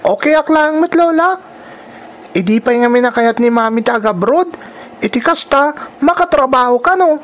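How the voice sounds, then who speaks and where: A woman's voice: high-pitched, animated, normal speed. A woman playing an earnest young child in a radio drama, plaintive, with a Filipino accent. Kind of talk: Ilocano radio drama acting.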